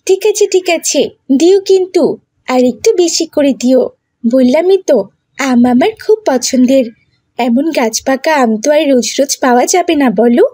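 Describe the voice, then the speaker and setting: A woman's voice: high-pitched, moderate pace, warm tone, dry recording, close to an everyday voice. A woman voicing a mischievous, scheming young village girl in a cartoon, with a wheedling, bossy way of talking. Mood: eager.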